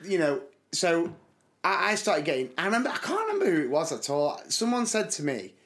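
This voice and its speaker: A young man, talking in a chatty, storytelling way with frequent laughs. His voice sits a little higher than most men's and has a slight hoarseness. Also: wildly swooping pitch, brisk, fairly bright tone. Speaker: podcast co-host. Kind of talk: casual chat.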